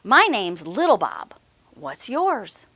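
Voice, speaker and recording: Woman reading as brave little bunny boy, lively. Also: medium pitch, slow, breathy edge, no room sound, full character voice. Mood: friendly.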